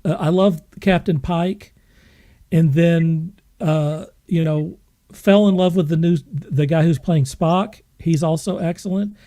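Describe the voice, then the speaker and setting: A man's voice: a little high, fairly even intonation, slow pace, warm tone. A man chatting casually and enthusiastically as a podcast panellist. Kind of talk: casual chat.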